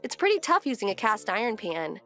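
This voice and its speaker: A woman's voice: mid-range voice, very animated, brisk, sharp tone, faint music behind. A woman playing a composed, wry wife who narrates her domestic story in a scripted manga dub. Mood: calm.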